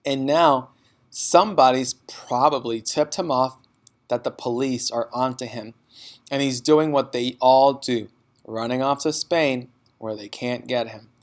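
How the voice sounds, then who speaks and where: Man acting kind storybook schoolmistress, gentle, measured, calm, matter-of-fact. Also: medium pitch, close to an everyday voice. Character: kind, calm, gentle young woman teacher.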